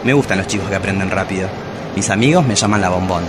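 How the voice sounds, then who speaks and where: A man's voice: low voice, fast talker, very noisy, natural voice. A man playing a self-dramatizing, bawdy travesti poet with an Argentine accent in a radio drama, theatrical, provocative and tender. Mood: teasing, seductive. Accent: Argentine Spanish. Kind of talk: radio drama monologue and dialogue.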